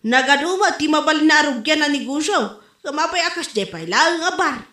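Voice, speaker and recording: A woman's voice: sits high, lively intonation, brisk, pushed and bright. A woman with an Ilocano accent playing a brash, judgmental, exclamatory gossip in a comedy drama. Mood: scornful. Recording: roomy, echoing room sound.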